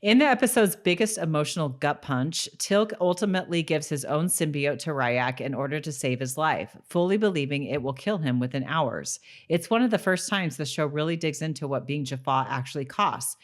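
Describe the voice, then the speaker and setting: A woman's voice: deep voice, expressive, normal speed, few pauses. A woman co-hosting a podcast, talking casually and informally about a TV show. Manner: informal, conversational. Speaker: podcast co-host. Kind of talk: casual chat about a TV show, with some read-out recaps.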